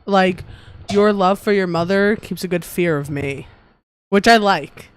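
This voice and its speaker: A woman's voice: lowish voice, unhurried, clean tone, low-quality recording. A young woman co-hosting a casual podcast at home with her partner, blunt and teasing. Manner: teasing, blunt, laughs along. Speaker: podcast co-host. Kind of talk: casual couple podcast chat. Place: home room with a fan.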